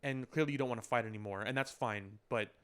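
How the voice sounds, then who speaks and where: A man's voice: mid-range voice, quick pace, a bit bright, clean tone. A man hosting a casual pop culture podcast, reasoning things out analytically in stops and starts, with some casual swearing. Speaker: podcast host.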